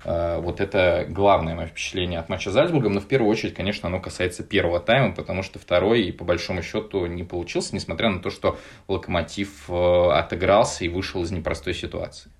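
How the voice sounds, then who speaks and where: Deep-voiced man hosting a football podcast. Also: fast, hardly any pauses. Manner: hesitant, many "э" fillers. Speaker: podcast host. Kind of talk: casual football analysis chat.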